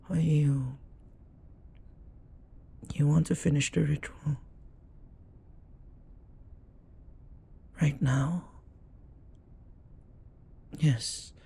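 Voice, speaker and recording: A man voicing a flirty, devoted demon in an intimate romantic audio roleplay, speaking formally and reverently in a husky voice that often drops to a whisper. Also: on the high side, mellow, a little hiss or hum, heavily acted. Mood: eager pleading. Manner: slow, formal, reverent phrasing. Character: jealous, flirty, devoted male demon bound to master.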